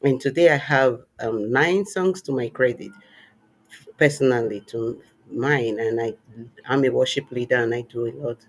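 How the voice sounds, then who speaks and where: A man's voice: medium pitch, normal speed. A man hosting a faith-based talk show, speaking earnestly and encouragingly with a preacher-like cadence. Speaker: podcast host; worship leader. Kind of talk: warm closing remarks and personal story.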